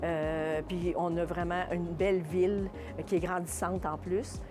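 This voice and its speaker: A woman with a Quebec French accent giving casual interview answers as a shop owner, haltingly searching for her words. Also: lowish voice, little pitch movement, over music. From Contrecœur, Quebec, Canada. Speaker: small business owner being interviewed.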